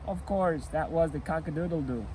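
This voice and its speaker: A man's voice: a little high, normal speed, dark tone, slight background noise, lightly acted, poor audio. A man reading the part of a frightened robber in a folk tale, telling things in an emphatic, aggrieved way. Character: frightened male robber recounting his scare.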